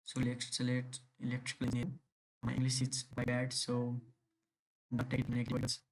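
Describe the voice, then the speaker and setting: A man's voice: medium pitch, completely flat delivery, brisk, low-quality recording. A young man from Nepal, a native Nepali speaker, talks in English with a Nepali accent, walking through an online application step by step with many fillers and restarts. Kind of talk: screen-recorded tutorial.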